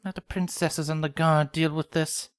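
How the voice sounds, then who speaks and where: Casting: composed, genteel cartoon lady pony acted by a man, high-pitched, consoling and proper. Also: little pitch movement, normal speed, clean tone, no echo, clean studio sound, lightly acted. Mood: consoling, firm. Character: refined, ladylike female cartoon unicorn, composed and caring.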